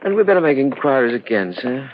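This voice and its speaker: Man playing brisk, dutiful policeman, noisy radio. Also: medium pitch, sing-song, close to an everyday voice. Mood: businesslike. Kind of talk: old-time radio drama acting. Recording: dull, noisy old radio recording.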